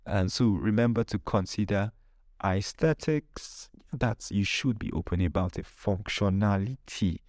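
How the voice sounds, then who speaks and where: A man's voice: on the low side, a little slow, a little mellow, no echo, studio quality. A man hosting a podcast in an informal advice monologue, speaking emphatically with repeated phrases and rhetorical questions. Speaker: podcast host.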